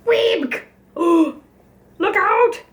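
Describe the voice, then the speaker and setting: A woman voicing a fussy, protective mother duck in an expressive storybook reading. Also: extremely high pitch, drawn-out words, voice pushed up, full character voice. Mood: alarmed. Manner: expressive, emphatic storybook delivery. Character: fussy, protective mother duck, polite but easily flustered.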